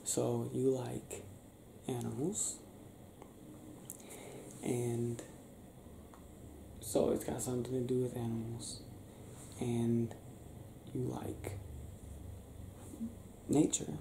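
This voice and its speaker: A young man doing an improvised ASMR boyfriend roleplay, talking softly and affectionately in a breathy, teasing, near-whispered way. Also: medium pitch, monotone, very slow, long pauses. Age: young adult. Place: small bedroom, lying on a bed indoors. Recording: roomy sound with faint background noise.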